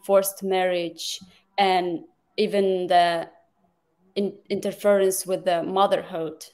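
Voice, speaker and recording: Halting woman from Kazakhstan. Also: fairly low pitch, flat delivery, darkish tone. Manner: halting, many fillers and restarts. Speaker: guest advocate. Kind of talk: interview answers.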